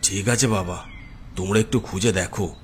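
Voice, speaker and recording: A man's voice: sits low, steady tone, normal speed, slight background noise, natural voice. A man playing a worried older village father in a horror cartoon, speaking anxiously and pleadingly. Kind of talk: cartoon horror story dialogue.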